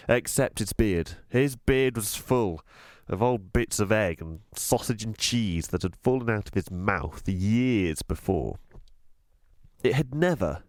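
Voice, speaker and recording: A man reads a children's story aloud in a fairly low voice. His pitch swoops widely from phrase to phrase, and he takes his time, leaning on words with deliberate emphasis. The sound is dry, with very little room. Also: no echo. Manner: expressive, deliberate, emphatic delivery. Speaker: teacher reading aloud to a school class. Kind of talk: scripted storybook narration.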